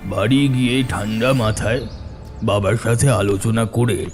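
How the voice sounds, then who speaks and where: Slow, low-voiced male storyteller. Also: steady tone, music in the background. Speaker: narrator. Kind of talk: scripted story narration, first person.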